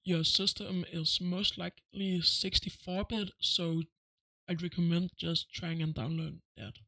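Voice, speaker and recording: A man with a noticeably high voice talks through a casual software how-to. He gives plain, simple instructions one step at a time. The sound is dry, with very little room on it. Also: steady tone, measured pace, vocal fry, no room sound, rough recording. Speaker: tutorial host.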